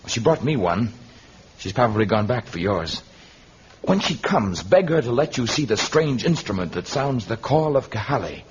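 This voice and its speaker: An actor playing a noble, earnest and commanding jungle hero in a vintage radio serial, with formal, deliberate diction. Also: male voice, mid-range voice, sing-song, close to an everyday voice. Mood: urgent. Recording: dull noisy vintage radio recording.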